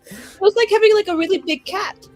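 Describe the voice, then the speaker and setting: Woman playing a very high-pitched, blunt young adventurer. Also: a little fast, darkish tone, soft background music. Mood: blunt, guileless. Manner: blunt, guileless questioning. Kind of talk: in-character tabletop roleplay.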